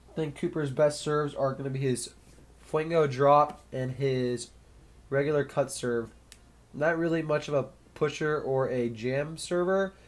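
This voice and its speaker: A young man with a slightly nasal, stuffy voice from a cold, casually calling a match play by play on his own and thinking aloud between points. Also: mid-range voice. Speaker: sports commentator. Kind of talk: solo play-by-play commentary.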